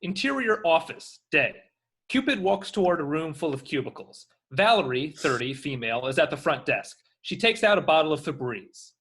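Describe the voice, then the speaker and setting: A man's voice: on the high side, very animated, moderate pace, a bit bright, breathy edge. A man reading screen directions as scripted narration at a table read.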